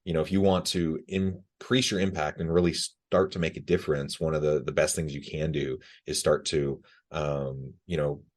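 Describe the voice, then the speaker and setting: A man's voice: extremely low pitch, level tone, moderate pace, hardly any pauses. A man hosting a podcast interview, friendly and affirming, with frequent fillers. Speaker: podcast host.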